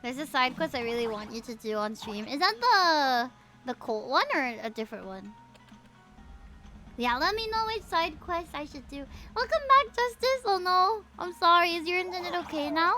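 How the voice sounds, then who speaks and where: A woman livestreaming a game, chatting with viewers in an excitable, playful way full of cutesy exclamations. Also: sits high, normal speed, soft background music. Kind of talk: live game streaming commentary with chat.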